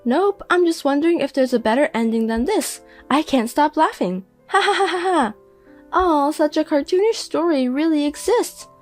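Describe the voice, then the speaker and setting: Casting: high, mocking mother voiced by a woman, scornful, drama audio. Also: expressive, moderate pace, few pauses, a little mellow, soft background music. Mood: gloating. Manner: mocking, gloating. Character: scornful mother, middle-aged, sharp-tongued.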